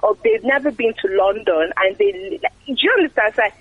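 A woman from Nigeria talks as a guest in a relaxed interview, with a slightly husky voice of middling pitch. She chats loosely, full of fillers and repeated words. The recording sounds a little dull and muffled. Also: brisk. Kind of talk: casual interview talk.

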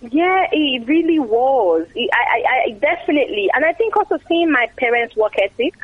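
A woman's voice: high voice, expressive, moderate pace, husky, dry recording, phone-quality sound. A woman from Nigeria, a guest in an interview, talking casually and chattily with frequent fillers and repeated words.

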